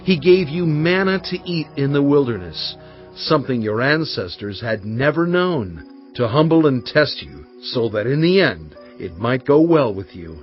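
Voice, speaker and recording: An actor playing a solemn, impassioned male prophet in a dramatised scripture reading, speaking with emphatic, oratorical, deliberate phrasing. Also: medium pitch, sing-song. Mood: solemn exhortation. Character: Moses, solemn, impassioned male prophet exhorting his people. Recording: dull, band-limited audio with music behind.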